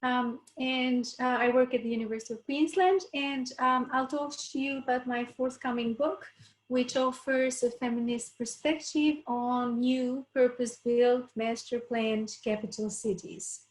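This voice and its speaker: A woman from Albania in her thirties, giving a relaxed academic talk on a video call, with many ums and uhs. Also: fairly high pitch, a little slow. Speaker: panel speaker, urban researcher. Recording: echoey room sound.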